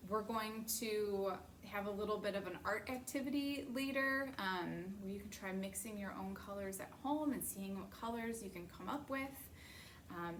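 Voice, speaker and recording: American lady doing story time for little kids, takes it slow, noisy background. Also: medium pitch, a bit bright. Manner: simple, deliberate phrasing with questions to young listeners. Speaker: children's librarian hosting story time. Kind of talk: children's story time, read-aloud. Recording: noticeable background noise.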